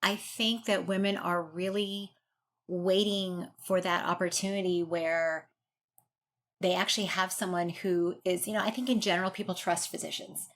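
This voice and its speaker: A woman's voice: fairly low pitch, fairly even intonation, normal speed, fairly bright tone. An American woman speaking as a guest doctor in an interview, explaining her views in an informal, conversational way. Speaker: guest doctor, urogynecologist and researcher.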